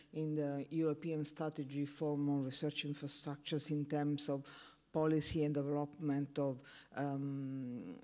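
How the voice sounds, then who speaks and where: A man from Italy with an Italian accent, a non-native speaker giving a conference presentation and often pausing with "uh". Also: fairly high pitch, darkish tone. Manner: halting, repeats words and fills with uh. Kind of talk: conference talk.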